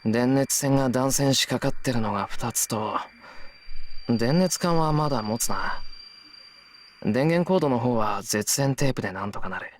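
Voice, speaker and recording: Man acting a quick-talking earnest teenage schoolboy. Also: mid-range voice, animated, slight background noise, close to an everyday voice. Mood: focused muttering. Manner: muttering to himself matter-of-factly. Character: earnest, modest teenage schoolboy who fixes things. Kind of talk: Japanese visual novel voice acting.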